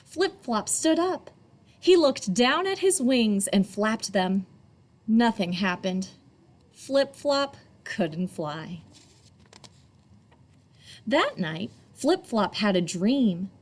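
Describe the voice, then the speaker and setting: Slow, very animated woman storyteller. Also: medium pitch. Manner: teacherly, asking young listeners questions and pausing. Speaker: storyteller reading to children. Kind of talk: expressive picture-book read-aloud.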